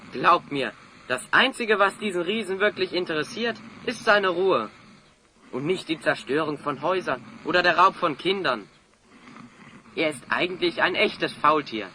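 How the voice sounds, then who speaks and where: A man's voice: high voice, bright, forceful tone, slight background noise, full character voice, rough recording. A man playing a brave, righteous young hero in an audio drama, with an emphatic, declamatory delivery. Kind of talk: German audio drama acting.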